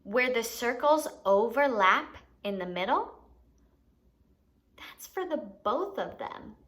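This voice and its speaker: A woman's voice: fairly high pitch, big pitch swings, slow, a bit bright. A woman hosting a children's educational activity, playfully giving instructions and asking questions as if to young kids. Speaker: children's story time host and teacher.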